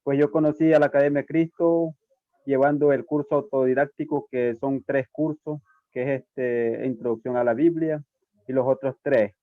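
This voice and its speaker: A man's voice: medium pitch, fairly even intonation, normal speed, no room sound. A man student politely introducing himself on a video call. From Nicaragua. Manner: polite, grateful. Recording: dry, tinny phone-like line.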